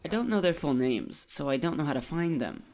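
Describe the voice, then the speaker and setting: A man's voice: a little high, very animated, a little fast, creaky. Guy acting as anxious earnest school kid, audiobook drama, busy crowd noise behind him. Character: earnest, anxious schoolboy hero seeking answers.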